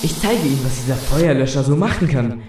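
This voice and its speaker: A man's voice: mid-range voice, animated, brisk, close to an everyday voice. An actor playing an unhinged, insolent young male arsonist who taunts in a German audio drama. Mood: taunting, menacing. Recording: echoey, distant, noisy hissing ambience.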